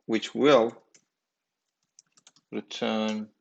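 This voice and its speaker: A man in his thirties giving a screencast programming lesson, halting and thinking aloud with fillers as he types. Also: on the low side, little pitch movement, creaky, lo-fi sound. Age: 30s. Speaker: programming instructor.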